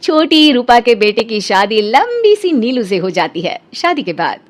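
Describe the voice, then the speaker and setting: A woman's voice: on the high side, sing-song, a little slow. A woman narrating a scripted children's story.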